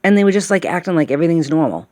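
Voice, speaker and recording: A woman's voice: lowish voice, animated, racing, mellow, dry recording, studio quality. A woman in her late fifties rambling through a casual monologue, sighing often and checking in with "okay?" and "all right?". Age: late 50s. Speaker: vlogger.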